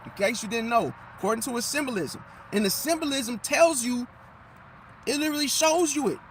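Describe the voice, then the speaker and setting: A man, his high voice worn slightly hoarse, lectures with a preachy, emphatic rhythm. He keeps circling back to his point and asking questions he answers himself. Faint street traffic hums behind him. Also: a little fast, low-quality recording. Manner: preachy, emphatic, repeats phrases and asks rhetorical questions. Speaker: astrology commentator. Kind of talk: casual talking monologue to viewers.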